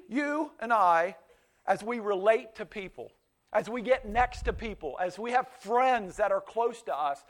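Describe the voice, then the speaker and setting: A pastor in his fifties delivers a sermon with a notably high-pitched voice. He grew up speaking Pennsylvania Dutch and talks in a loose, conversational way, stumbling over restarts and repeating words as he tells his stories. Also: a bit bright. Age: 50s. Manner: conversational storytelling with frequent restarts and stammered repeats.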